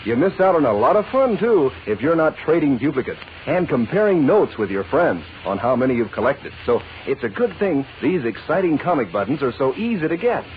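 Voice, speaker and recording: A man working as a radio announcer, pitching enthusiastically to children in a scripted 1940s ad style. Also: sing-song, a little fast, hardly any pauses. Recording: muffled old radio recording, faint hiss.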